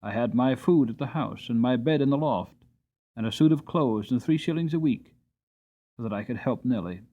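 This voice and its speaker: A man's voice: medium pitch, normal speed, close to an everyday voice. A man voicing a kind, hardworking coachman who talks plainly and earnestly, on a tinny narrowband recording.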